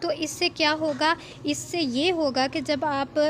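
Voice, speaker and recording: A woman giving an informal software tutorial, explaining step by step with frequent check-in tags. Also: high-pitched, fairly even intonation, unhurried, a little hiss or hum. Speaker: video editing instructor.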